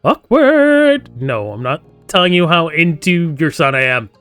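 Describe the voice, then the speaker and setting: A playful, sardonic man giving expressive let's-play commentary as a gaming streamer. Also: a little high, normal speed, smooth, dry recording, faint music behind. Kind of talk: let's-play commentary and reading aloud of a dating sim.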